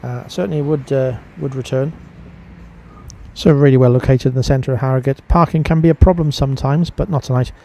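A man's voice: medium pitch, fairly even intonation, a little fast, creaky, dark tone. A man giving a casual voice-over review as a vlogger.

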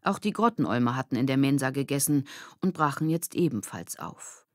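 A woman narrating a children's audiobook in a clear, measured, expressive storybook style. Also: very deep voice, dry recording, studio quality.

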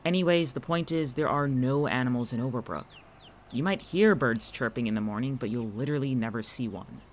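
A man's voice: medium pitch, a little fast, slight background noise, lightly acted. A man playing a guarded, watchful friend in an audio drama, speaking conversationally with dry, exasperated sarcasm. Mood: dry, sceptical. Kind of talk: naturalistic audio drama dialogue.

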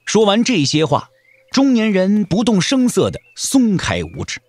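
Lively male narrator, fairly high voice. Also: unhurried, noisy background. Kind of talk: dramatic scripted audiobook narration.

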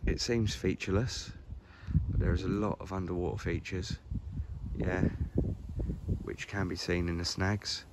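A man's voice: low voice, level tone, a little slow, background noise. A man in his forties with an English accent, chatting casually to camera by a lake, with lots of ums and restarts. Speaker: fishing vlogger. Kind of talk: casual outdoor vlog. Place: outdoors by a lake. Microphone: camera on a stand, sitting close.

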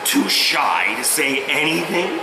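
A man's voice: on the high side, big pitch swings, vocal fry, full character voice. Sly, teasing chaos spirit acted by a man. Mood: teasing, smug. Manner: drawn-out, mock-innocent, teasing. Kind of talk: amateur script read-through. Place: indoor convention lounge seating area. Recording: echoey noisy public lounge.

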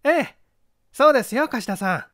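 A woman's voice: on the high side, animated, moderate pace, fairly bright tone, no room sound, studio quality. A woman playing a clever, composed teenage boy detective, polite and self-assured, in an audio mystery story. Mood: confident.

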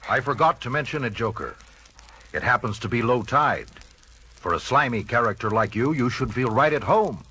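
An actor playing a resolute, righteous masked superhero with formal, declamatory delivery in a comic-book audio drama. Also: male voice, medium pitch, animated, moderate pace, sharp tone, slight background noise. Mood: wry. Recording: vintage vinyl record drama with music.

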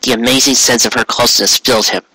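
A man's voice: mid-range voice, fairly even intonation, quick pace, bright, forceful tone, dry recording, rough recording. A man reading a story aloud as scripted narration, now and then stumbling and correcting himself. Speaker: amateur fan fiction reader.

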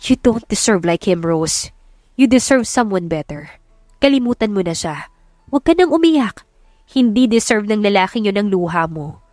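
A woman's voice: medium pitch, a little mellow, no echo, studio quality, close to an everyday voice. A woman voicing a middle-aged mother, protective and concerned, speaking urgently and consolingly in an audiobook romance.

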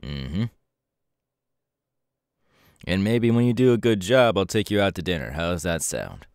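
A serious young hero mentor played by a man speaks in a low voice, earnest and measured. It is an intimate ASMR roleplay delivery, recorded very dry, as if instructing a trusted protégé. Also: sing-song, a little mellow, clear, resonant tone, dry recording, heavily acted. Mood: softly friendly.

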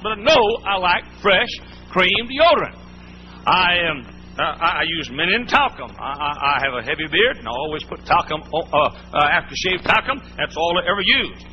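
A man preaching a sermon in a church, folksy and emphatic, repeating his points. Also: sits high. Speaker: pastor. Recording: muffled old sermon tape, faint hiss.

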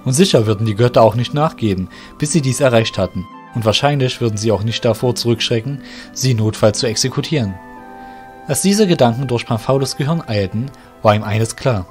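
A man narrating an audio drama in a steady, measured way. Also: medium pitch, soft background music.

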